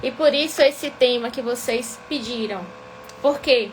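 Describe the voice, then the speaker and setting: High-voiced Bahian woman teaching live. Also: unhurried, a little hiss or hum, low-quality recording. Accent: Bahian Brazilian Portuguese. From Salvador, Bahia, Brazil. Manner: didactic, keeps checking in with "tá?". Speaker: accountant teaching a weekly live class. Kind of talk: live-streamed lesson.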